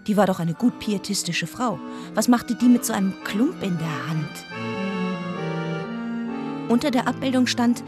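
Woman playing pious deaconess telling a mystery in a radio drama, measured, bit of music behind. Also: mid-range voice, expressive, natural voice. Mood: indignant. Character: pious deaconess narrating a shocking discovery. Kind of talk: radio play narration.

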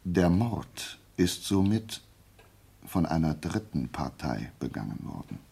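An actor playing a brilliant, self-assured detective in a German radio play, deliberate and pedantic, musing with emphatic pauses. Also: male voice, sits low, big pitch swings, creaky, dark tone, breathy edge, heavily acted. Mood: methodical reasoning.

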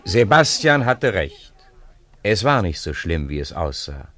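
A man's voice: sits low, big pitch swings, measured pace, a bit bright. A man narrating a scripted story for a radio play.

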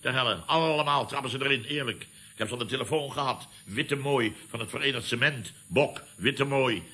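An actor plays a blustering male boss of a building firm in a vintage radio comedy. He sputters and repeats himself, always indignant. The voice is mid-pitched, piercingly bright and tense. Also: brisk, close to an everyday voice. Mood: indignant, flustered. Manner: blustering, sputtering, repetitive, exasperated. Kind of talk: 1970s Dutch radio comedy acting.

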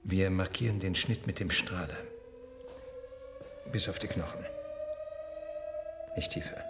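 Slow, gravelly surgeon. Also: male voice, low voice, fairly even intonation, over music. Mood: calm, concentrated. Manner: measured, instructing in short phrases. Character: surgeon quietly directing an operation. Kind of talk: German radio drama acting.

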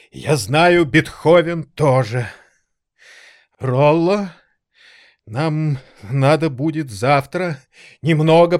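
An actor playing an excitable, sentimental elderly maestro pianist in an audio drama, theatrical and halting. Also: male voice, a little high, no room sound, clean studio sound. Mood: moved, shaken.

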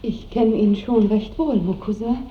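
A woman's voice: mid-range voice, measured pace, lightly acted. A woman playing an innocent, very young noble bride in a radio play, girlish and fervent. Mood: shy chatter. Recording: muffled, echoey 1960s radio with noise.

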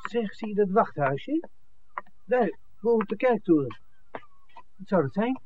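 An actor playing an eager, easygoing television director, speaking in a breezy, exclamatory 1960s Dutch radio drama style. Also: male voice, high voice, very animated, a little slow, highs cut off. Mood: keen. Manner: exclamatory, breezy, chuckling between phrases. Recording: thin, noisy 1960s radio recording.

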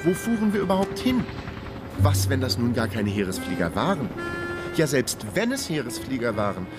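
A man's voice: medium pitch, sing-song, moderate pace, over loud music, natural voice. An actor playing a world-weary investigator who narrates his own radio crime drama in a dry, ironic manner. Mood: wry, weary. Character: world-weary, wry male investigator hero. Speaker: narrator and lead character.

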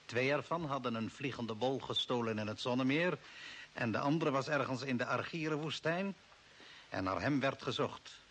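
A man's voice: mid-range voice, normal speed, a bit bright, breathy edge. An actor playing a cold, authoritarian old man from Earth who serves the Martians in an older Dutch radio play, commanding and scornful. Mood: cold, firm. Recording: hissy, noisy old radio recording.

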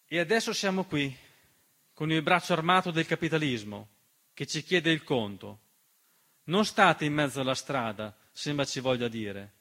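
A man performing a dramatic political theatre monologue in an emphatic, rhetorical manner with deliberate repetition. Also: a little high, animated, fairly bright tone. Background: piano accompaniment.

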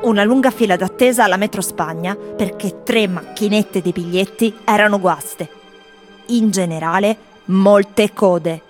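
An actress playing wry, world-weary foreign tourists in a theatrical radio monologue, speaking in delivery. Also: female voice, mid-range voice, measured pace, natural voice. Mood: exasperated, wry. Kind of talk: theatrical scripted monologue over music. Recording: music playing behind the voice.

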